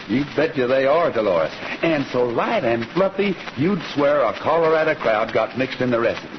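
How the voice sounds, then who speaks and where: A man's voice: mid-range voice, big pitch swings, moderate pace, noisy background, natural voice. Man as easygoing cowboy radio host, muffled recording. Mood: folksy pitch. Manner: folksy, easygoing cowboy talk. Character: genial singing cowboy rancher, folksy host. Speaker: radio show host and pitchman. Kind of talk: 1940s radio show hosting. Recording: muffled old radio recording, loud music.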